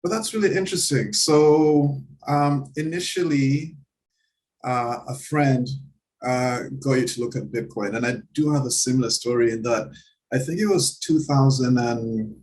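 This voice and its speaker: A man with a middling voice hosts a relaxed interview. He encourages his guest with frequent "mm-hmm" and rephrases his questions as he speaks. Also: mid-range voice, measured pace, rough recording. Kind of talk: conversational interview.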